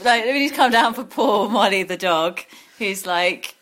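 A woman hosting a casual group chat, lively and laughing often as she leads the games. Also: medium pitch, normal speed, a crowd in the background. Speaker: host.

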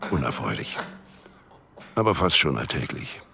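A man's voice: very deep voice, big pitch swings, moderate pace, exaggerated voice. An actor playing a cynical, worldly male narrator in a radio drama, speaking in a sardonic, knowing way. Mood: brooding. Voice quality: gravelly, sardonic. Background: music.

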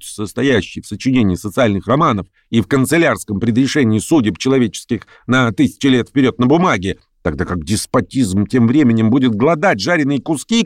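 A man voicing a domineering, impatient political agitator in an audiobook, with sharp, goading and mocking oratory. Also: mid-range voice, no echo, studio quality, pitched above the performer's own voice, lightly acted. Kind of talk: acted audiobook dialogue.

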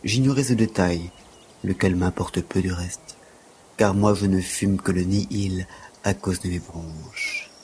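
A prim, aloof gymnastics tutor in an audio drama. His fairly low voice is formal, dry and exact, with faint insect ambience behind it. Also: fairly even intonation, unhurried, darkish tone, slight background noise. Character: prim, aloof, penniless male gymnastics teacher, sickly but dignified. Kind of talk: French audio drama acting.

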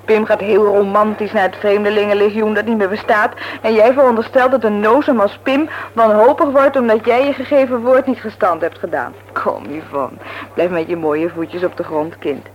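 Breezy young woman, played by an actress, self-assured and teasing, expressive vintage radio-serial style, mid-pitched, noisy old recording. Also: moderate pace, lightly acted.

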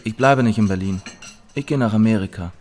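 A man's voice: on the low side, a little fast, darkish tone, slight background noise, natural voice. A man acting a weary refugee in a radio play, speaking tersely with matter-of-fact resignation. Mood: resigned, bitter. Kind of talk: German radio drama dialogue.

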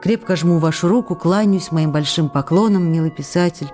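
Woman as dark-voiced, emotional young actress. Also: on the low side, normal speed, faint music behind, close to an everyday voice. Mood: anguished, dejected. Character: emotional, affectionate young stage actress, candid. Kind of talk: radio drama reading of letters.

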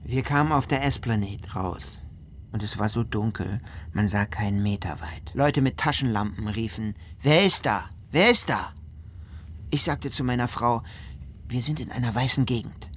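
Male actor as flood survivor and husband, medium pitch, heartfelt radio drama monologue, faint ambient noise. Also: normal speed, a bit bright, close to an everyday voice. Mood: recounting, heartfelt.

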